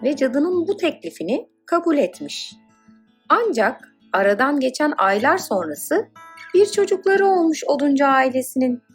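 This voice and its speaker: A woman narrating a scripted children's fairy tale in an expressive, dramatic storytelling manner. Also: high-pitched, moderate pace, soft background music.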